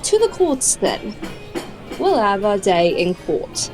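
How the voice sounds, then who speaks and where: An actress playing an indignant, accusing shopkeeper in a fantasy audio drama. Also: female voice, mid-range voice, very animated, takes it slowly. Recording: music under the dialogue.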